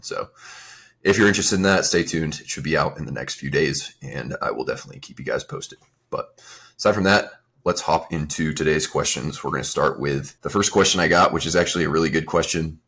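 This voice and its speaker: A young adult man hosting his own fitness podcast, talking in a relaxed, rambling way with a slightly raspy, strained voice. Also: very deep voice. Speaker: podcast host and fitness coach. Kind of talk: casual solo podcast talk.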